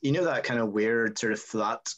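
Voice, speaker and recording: A man's voice: mid-range voice, normal speed, fairly bright tone. A man chatting casually on a video call, a bit hesitant, with lots of ums. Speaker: guest. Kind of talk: casual chat.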